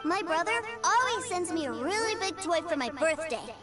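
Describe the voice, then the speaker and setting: A woman's voice: very high-pitched, big pitch swings, normal speed. A woman voicing a small, curious, innocent and eager little boy in an anime video game. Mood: cheerful, proud. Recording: music playing behind the voice.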